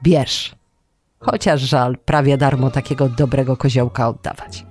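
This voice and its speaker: A man's voice: medium pitch, steady tone, measured pace, darkish tone, soft background music, natural voice. A storyteller as a grudging, greedy rich herdsman. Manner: grudging, blunt. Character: greedy, foolish rich herd owner.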